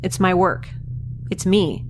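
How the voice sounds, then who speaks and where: An actress playing a wry, defiant young scientist held captive in space, speaking conversationally and sardonically in an audio drama. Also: female voice, on the low side, slow, darkish tone, faint music behind. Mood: defiant, reasoning. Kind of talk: first-person audio drama monologue and dialogue. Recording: faint background noise and ambience.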